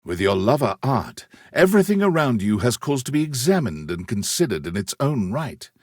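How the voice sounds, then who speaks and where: A worldly, flamboyant storyteller for a video game. His voice is husky and swings widely in pitch as he savors each florid phrase with arch, pompous wit. The sound is dry, with no room on it. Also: very animated, a little mellow, no echo. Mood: refined, fond. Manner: dramatic, pompous, savoring words with deliberate pauses. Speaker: voice actor, narrator of game story. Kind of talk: theatrical scripted narration.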